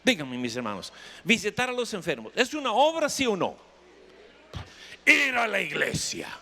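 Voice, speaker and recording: Animated Costa Rican preacher, man in a hall. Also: high-pitched, moderate pace. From Costa Rica. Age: middle-aged or older. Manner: emphatic, question-and-answer preaching, repeats phrases for effect. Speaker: pastor preaching. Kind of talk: sermon with anecdotes and questions to the congregation. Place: camp meeting hall with congregation. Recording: reverberant hall, long echo.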